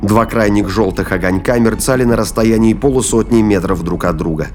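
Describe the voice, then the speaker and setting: A man's voice: lowish voice, fairly quick, darkish tone, faint music behind. A man reading scripted narration as the narrator of an audio drama.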